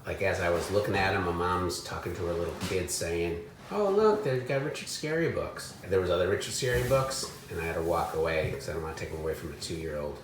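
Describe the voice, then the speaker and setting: Male co-host for thrift-find chat, low voice, eager unscripted commentary, echoey room with slight noise. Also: lively intonation, fast, hardly any pauses. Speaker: co-host of a thrifting haul video. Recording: roomy echo, some background noise.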